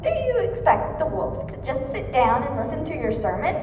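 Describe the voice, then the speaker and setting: A female performer playing an alarmed, imperious countess in a puppet show, loud and dramatic. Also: sits high, big pitch swings, normal speed. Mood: scornful, interrupting. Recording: echoey hall from far off, noisy.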